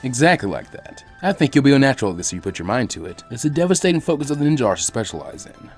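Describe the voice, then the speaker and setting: A man voicing a calm, encouraging adult male ninja teacher who explains things patiently in a story reading. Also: medium pitch, rapid-fire, husky. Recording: faint music behind the voice.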